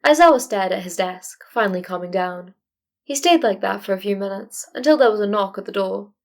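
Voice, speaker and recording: A woman with a medium-pitched voice narrates a story. She reads in a scripted audiobook style, as the narrator of fan fiction. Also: a little fast.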